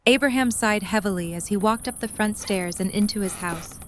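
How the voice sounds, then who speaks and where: Clear, smooth woman narrator. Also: mid-range voice, moderate pace. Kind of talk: scripted story narration.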